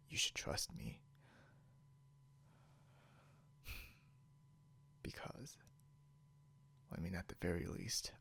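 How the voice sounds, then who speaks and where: Man acting a very gravelly, possessive captor, low-voiced. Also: heavily acted. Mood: calm, possessive. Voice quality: soft, breathy, often near whisper. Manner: smug, teasing, slow and deliberate. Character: possessive, snarky non-human male captor, condescending yet caretaking. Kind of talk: intimate ASMR roleplay.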